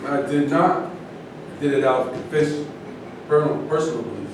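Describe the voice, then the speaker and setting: A man's voice: moderate pace, natural voice. A man in a staged reading, playing a proud, combative boxing champion who stands on his beliefs. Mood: argumentative. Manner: combative, emphatic. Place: indoor room at a staged reading. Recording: echoey room, distant mic, noisy rumble.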